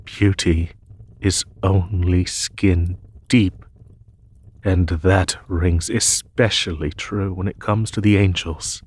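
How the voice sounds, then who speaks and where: A man playing a noble, weary, peace-seeking demon emperor in a fantasy audio roleplay, speaking in a formal, measured way. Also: deep voice, steady tone, darkish tone, husky, full character voice. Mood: grave, disdainful. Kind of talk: fantasy audio roleplay, spoken softly to one listener.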